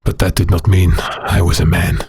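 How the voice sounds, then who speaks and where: Very husky, deep, haunted werewolf. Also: male voice, level tone, no echo, clean studio sound, full character voice. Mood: haunted sorrow. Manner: slow, halting, brooding delivery. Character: bitter haunted male werewolf prisoner recounting his past. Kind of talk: dramatic audio drama monologue.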